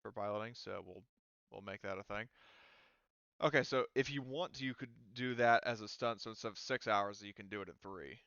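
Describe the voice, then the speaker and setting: A man's voice: lowish voice, a little fast, a bit bright, clear, resonant tone, no room sound, dull audio. A man speaking casually as game master, narrating scenes and making rulings in an informal way.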